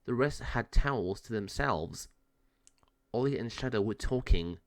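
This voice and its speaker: Male children's storybook narrator, medium pitch, animated delivery. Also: measured pace. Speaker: storybook reader.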